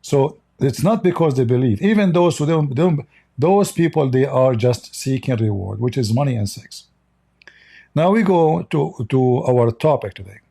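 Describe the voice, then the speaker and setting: Blunt, scornful man, husky and dark. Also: mid-range voice, expressive, normal speed. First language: Arabic. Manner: repeats words and restarts often. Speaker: online commentator. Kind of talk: unscripted commentary monologue.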